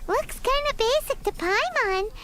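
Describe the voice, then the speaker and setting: A woman's voice: extremely high pitch, lively intonation, unhurried, heavy background noise. A woman voicing a tiny, blunt, chirpy fairy companion with a cutesy childlike manner in an anime game. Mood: puzzled, skeptical. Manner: cutesy, refers to herself in third person. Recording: loud game music underneath.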